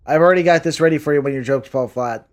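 A man's voice: fairly high pitch, fast talker. A man co-hosting a casual movie commentary podcast, dropping dry jokey asides. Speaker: podcast co-host.

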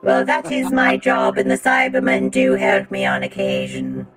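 A robot humanoid woman with an extraordinarily deep voice. The accent is British but drifts about. The manner is polite, halting and chatty, a little vain, with faint music behind. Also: monotone. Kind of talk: improvised character bit on a comedy podcast.

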